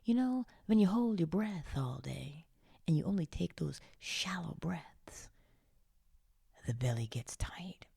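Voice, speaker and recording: A woman leading a guided meditation in a hushed, soothing, breathy and often whispered voice, coaxing gently with long pauses. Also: on the low side, lively intonation, no echo, studio quality. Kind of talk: slow guided meditation narration, partly whispered.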